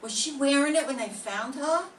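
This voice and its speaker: A woman's voice: high voice, moderate pace, close to an everyday voice. An older woman playing a nervous, streetwise young cannery worker in a radio drama, chatty and wary. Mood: gossipy. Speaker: amateur radio drama performer. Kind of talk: live reading of a 1940s radio drama. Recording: echoey room, distant mic, faint noise.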